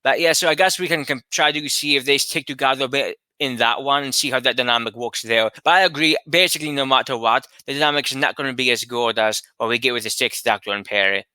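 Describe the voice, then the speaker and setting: A man co-hosting a casual chat, lively and animated, often restarting while he searches for words. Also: mid-range voice, fast talker, hardly any pauses, clear, resonant tone, dry recording. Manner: animated, rising into excited retelling. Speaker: podcast co-host.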